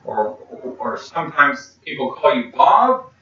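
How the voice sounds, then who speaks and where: A man's voice: a little high, very animated, a little slow, bright, forceful tone. A young man interviewing his grandfather at home, asking short prompting questions. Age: young adult. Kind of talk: casual family interview. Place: small room at home. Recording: echoey room, distant mic, dull sound, faint hiss.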